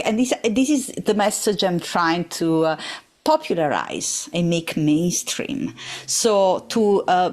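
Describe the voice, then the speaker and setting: A woman from Italy with an Italian accent, giving thoughtful interview answers with frequent hesitations. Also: medium pitch. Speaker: podcast guest.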